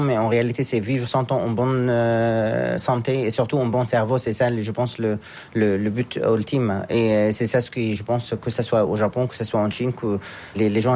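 A man from France, raised in Japan, answering interview questions in a halting way as he searches for words. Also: mid-range voice, a little mellow. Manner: halting, searching for words, with frequent pauses and restarts. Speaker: guest, scientist and businessman.

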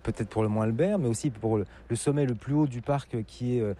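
A man's voice: mid-range voice, quick pace, mellow. A man hosting a travel radio interview, asking friendly, teasing questions. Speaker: radio host interviewing a guest.

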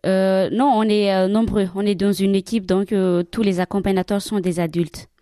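A woman's voice: mid-range voice, level tone, dark tone, no echo, clean studio sound. Hesitant woman, non-native speaker. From Madagascar. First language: Malagasy. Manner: hesitant, many pauses and fillers. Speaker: religious sister and catechist. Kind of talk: interview answers.

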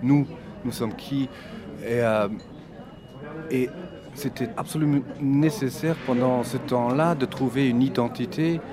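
A man's voice: mid-range voice, measured pace. A man from Belgium arguing his view emphatically, restarting phrases, recorded outdoors in a busy urban spot. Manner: emphatic and opinionated, with repeated restarts. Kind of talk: discussion. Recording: outdoor crowd chatter behind.

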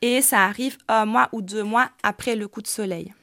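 Earnest woman with a gravelly edge. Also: medium pitch, fairly bright tone, dry recording, clean studio sound. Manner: earnest, explanatory, many filler pauses. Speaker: hairdresser and salon director. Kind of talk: radio interview answers.

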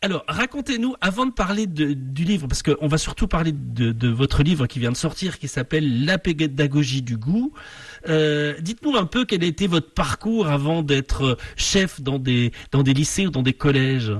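A man's voice: a little high, expressive, moderate pace, breathy edge, no room sound, far from the mic. A man hosting a radio magazine and interviewing a guest, hesitating often and restarting his sentences. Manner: hesitant, many fillers and restarts.

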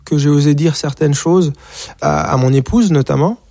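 A man's voice: fairly high pitch, moderate pace, warm tone, no room sound, studio quality. A man in his forties from Rwanda, a singer being interviewed, speaking hesitantly with many fillers and repeated words. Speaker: singer and author, interview guest. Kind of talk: radio or TV interview.

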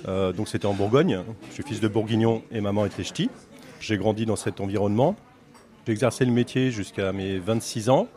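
A man's voice: lowish voice, a little fast, warm tone. A middle-aged French man who owns a café, chatting casually in an interview with many fillers and restarts. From France. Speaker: café owner.

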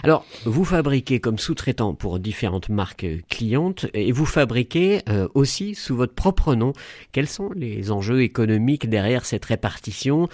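A male interviewer with a mid-pitched voice puts prepared, probing questions to his guest. The recording is dry, with no room sound. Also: lively intonation, moderate pace, a little mellow, dry recording.

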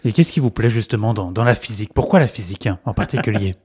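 Animateur radio rapide, voix grave et sombre. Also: male voice, fairly low pitch, lively intonation, darkish tone, slightly rough. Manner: brisk, fluent presenter. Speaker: radio host. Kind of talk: radio interview.

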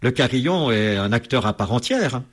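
A man's voice: medium pitch, animated, moderate pace, husky. A man, a radio guest, explaining bells in a hesitant way with lots of fillers and restarts. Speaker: radio guest, bell expert.

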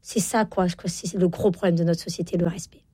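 A woman's voice: fairly low pitch, steady tone, brisk, dark tone, slightly rough, dry recording. A woman in her forties, a guest on a radio talk show, speaking emphatically with many fillers and tag words. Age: 40s. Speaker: guest author on parenting. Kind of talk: radio interview.

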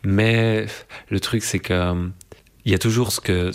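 A young man with a deep, low voice speaks slowly and thoughtfully, pausing often to find his words. He reflects calmly in a conversation, restarting phrases as he works through his ideas. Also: clean tone. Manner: thoughtful, searching, many pauses and restarts. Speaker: interviewee.

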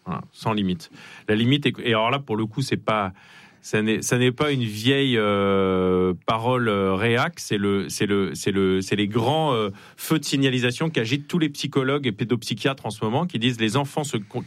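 Male studio guest, explanatory and emphatic, restarts phrases, medium pitch, dry recording. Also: no room sound. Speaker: studio guest, academic administrator. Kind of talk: radio debate, explaining.

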